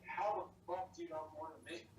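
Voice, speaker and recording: A woman's voice: on the low side, fairly even intonation, brisk, darkish tone, far from the mic. A woman, a friend, teasing and swearing casually from off to the side. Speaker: friend and fellow collector.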